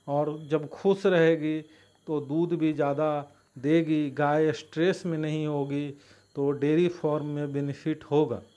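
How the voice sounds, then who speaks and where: A man giving instructional farming narration in a halting way, breaking his sentences into short phrases. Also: fairly high pitch, darkish tone.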